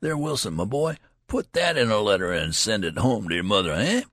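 A man's voice: lowish voice, fairly quick, no echo, studio quality, pitched above the performer's own voice. A man voicing an eager young soldier in an audiobook, bursting with news in rustic, repetitive talk. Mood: eager, excited. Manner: excitable, rustic ungrammatical talk, emphatic repetition. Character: eager young male soldier breathlessly telling big news.

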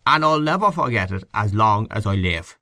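A man's voice: on the low side, expressive, normal speed, sharp tone, no echo, clean studio sound. A man voicing a blustering, opinionated Irish husband in an audiobook, with a blunt manner. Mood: reminiscing. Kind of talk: audiobook character acting.

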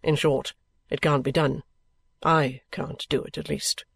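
Male actor as young gentleman, period novel dialogue: earnest, weary, evasive, creaky edge, very dry sound. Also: fairly high pitch, flat delivery, measured pace, dry recording, full character voice. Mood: restless, resigned. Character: restless young gentleman, affectionate but bitter and evasive. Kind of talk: acted dialogue in a classic novel audiobook.

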